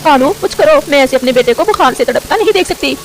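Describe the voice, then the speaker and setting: A woman's voice: sits high, animated, quick pace. A woman voicing a defiant, fiercely protective mother crow in a melodramatic cartoon story. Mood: anxious, pleading. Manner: sharp, defensive, doting toward her child.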